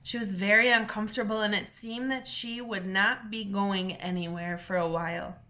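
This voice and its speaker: A woman, a teacher, reading a children's storybook aloud in a gentle, clear storytelling manner. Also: medium pitch, fairly even intonation, normal speed.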